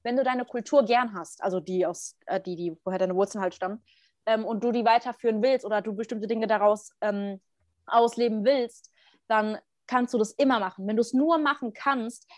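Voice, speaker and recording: A woman's voice: on the high side, dry recording, clean studio sound. A young woman chatting casually in youth slang, bluntly arguing her opinions at length. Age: young adult. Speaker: participant in a group talk.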